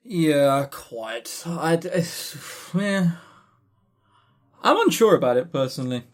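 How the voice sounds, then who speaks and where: A southern English man chats casually as a podcast co-host. His voice sits fairly high and moves up and down a great deal, with a blunt, opinionated way of talking. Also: very animated, takes it slowly. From south of England.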